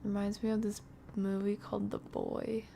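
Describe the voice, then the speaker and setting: A woman's voice: mid-range voice, flat delivery, moderate pace, vocal fry, warm tone, a little hiss or hum. A woman streamer talking to herself as she plays a horror game, often dropping into a whisper. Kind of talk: casual gameplay commentary.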